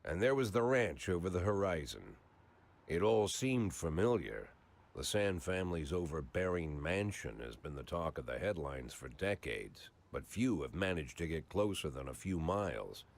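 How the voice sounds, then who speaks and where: An actor playing a weary, hard-drinking older cop, dry and sardonic, in a noir video game. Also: male voice, low-pitched, very animated, normal speed, gravelly, exaggerated voice. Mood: weary narration. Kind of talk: scripted noir narration and dialogue.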